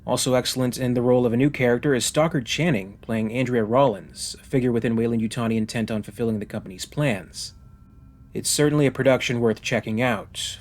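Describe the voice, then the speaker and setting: A man hosting a video review, reading scripted narration in a measured, articulate and formally phrased way. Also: mid-range voice.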